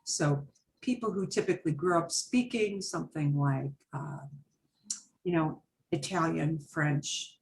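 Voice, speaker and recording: A woman's voice: low-pitched, rough recording. Chatty woman teaching Spanish. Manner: chatty, switches between Spanish and English, explains patiently. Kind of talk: online class teaching.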